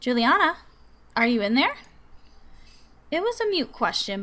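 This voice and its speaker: Exasperated, dryly scolding guardian read by a woman, animated. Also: on the high side. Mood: dry narration. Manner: dry, scolding, sardonic. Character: exasperated, dutiful guardian narrating a visual novel. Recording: music behind the voice.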